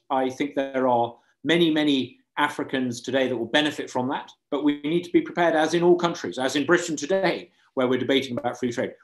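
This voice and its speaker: Earnest, emphatic middle-aged man. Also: medium pitch. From Uganda. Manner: earnest, emphatic, repeats words for stress. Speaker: panelist. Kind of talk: persuasive panel remarks. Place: indoor room, video call. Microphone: video call microphone.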